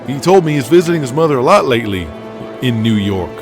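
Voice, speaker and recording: An actor playing a nervous, evasive wrestling board member with a hesitant, uneasy manner in an audio drama. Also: male voice, medium pitch, sing-song, fast, over music.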